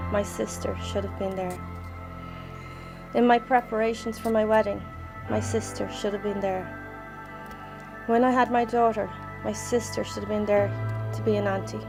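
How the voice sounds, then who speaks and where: A middle-aged woman with a Dublin accent reads a prepared memorial tribute to her sister in a measured, solemn way. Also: mid-range voice. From Ireland. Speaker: bereaved sister giving a tribute. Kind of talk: reading a prepared memorial statement.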